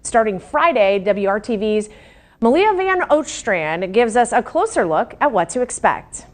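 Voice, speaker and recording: High-pitched American woman anchoring live TV news. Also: very animated, a little slow. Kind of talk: live TV news and weather reading.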